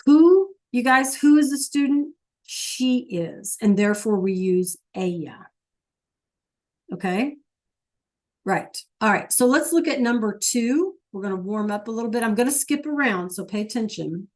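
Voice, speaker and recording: Slow-paced woman teaching an online class. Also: a little high, animated. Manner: patient, checks in with students often. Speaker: Spanish teacher.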